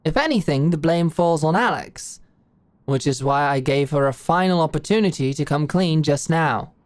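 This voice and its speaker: Woman acting a low-voiced, brash older British boss. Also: lively intonation, normal speed, darkish tone, no room sound. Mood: amused, blunt. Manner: brash, slangy, commanding. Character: blunt, shrewd older British female company executive. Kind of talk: scripted audio roleplay monologue.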